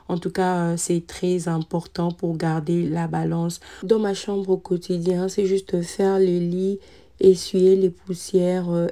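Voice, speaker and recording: Calm mom narrating her cleaning vlog, lowish voice, lots of little "euh"s, pretty flat. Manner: calm, relaxed, frequent "euh" hesitations. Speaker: cleaning vlogger, mother. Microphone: voice-over recorded separately.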